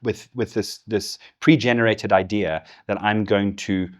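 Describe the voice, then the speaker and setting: A deep, low-pitched middle-aged man speaks with a Zimbabwean accent worn partway into English. He tells his own story in a relaxed, candid way and laughs easily. It sounds like an interview guest in casual conversation. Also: measured pace. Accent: Zimbabwean with English influence. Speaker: guest, business executive.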